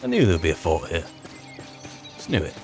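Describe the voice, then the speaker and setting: A man's voice: low voice, wildly swooping pitch, a little fast, creaky, a little mellow, soft background music. A man hosting a gaming video, improvising roleplay commentary in a wry, musing and rambling manner.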